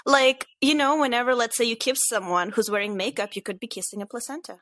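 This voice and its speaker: Playful woman co-host, high lively voice, bright tone, dry close sound. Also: no room sound. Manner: playful, teasing, quick to laugh. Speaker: podcast co-host. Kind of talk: casual podcast chat.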